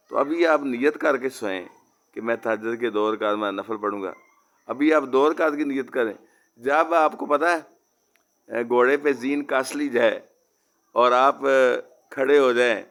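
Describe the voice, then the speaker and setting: A man delivers an Islamic devotional sermon in a creaky, gravelly voice at a middling pitch. He exhorts his listeners as friends, moving between instruction and recited devotional phrases. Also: a bit bright.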